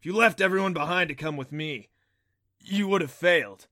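Booming, jovial thunder god. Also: male voice, brisk, dry recording, clean studio sound. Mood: approving. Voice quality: loud, booming. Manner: laughs heartily, exclaims grandly.

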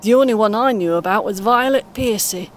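A woman playing a kindly, encouraging British grandmother in her eighties in an audio play, speaking gently and reassuringly. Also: brisk, natural voice. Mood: reminiscing. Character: kindly, encouraging 81-year-old grandmother on a coach. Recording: clear vehicle rumble noise. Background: vehicle ambience.

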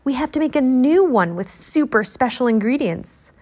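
A woman's voice: sits high, expressive, moderate pace, a little mellow, pitched above the performer's own voice. A woman voicing a cheerful, earnest young girl genie, one of twin sisters in a children's storybook.

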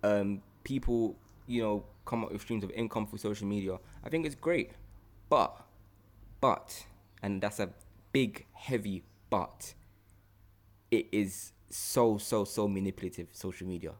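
A British man with a London accent hosting a casual podcast, talking in an animated, slangy way and repeating himself for emphasis. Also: fairly low pitch, unhurried. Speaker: podcast host.

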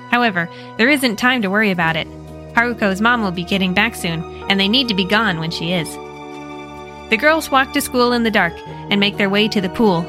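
An American woman hosting a story podcast, retelling a horror manga in a lively, expressive and wry way. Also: mid-range voice. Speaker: podcast host and narrator.

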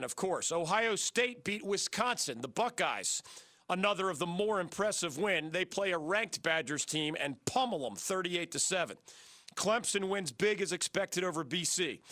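An American man hosting a sports radio show, brisk and enthusiastic, rattling off scores and names with a slightly gravelly, scratchy voice. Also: high voice, sharp tone, dry recording. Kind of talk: sports talk radio monologue. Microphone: studio microphone.

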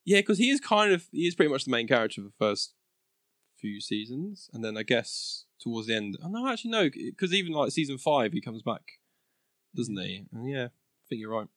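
A man's voice: mid-range voice, big pitch swings, normal speed, a bit bright, no room sound, studio quality. A man co-hosting a podcast in easygoing casual chat, often laughing between remarks. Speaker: podcast co-host.

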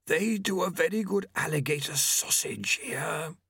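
Man putting on Famine, an arrogant high-voiced horseman. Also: measured pace, creaky, sharp tone, breathy edge, no echo, clean studio sound, heavily acted. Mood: disdainful, airy. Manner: airy, fussy, superior. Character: Famine, arrogant, languid male horseman of the apocalypse.

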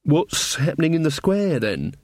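A man's voice: a little high, big pitch swings, moderate pace, no room sound, studio quality, voice pushed up. A man voicing a flustered young queen who puts on pompous regal airs in a storybook reading. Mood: curious, alarmed. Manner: pompous, speaks of herself as "one".

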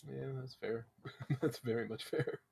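A man guest on a podcast, chatting casually with a husky morning voice, giggly and self-deprecating. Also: medium pitch, steady tone, a little slow, a little mellow.

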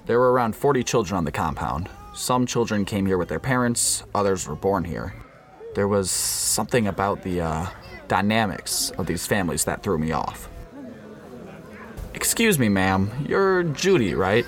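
Man playing a low-voiced undercover agent, measured and solemn. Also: expressive. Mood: awkward, probing. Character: undercover male FBI agent narrating a compound infiltration, uneasy. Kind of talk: scripted radio play narration. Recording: faint background noise.

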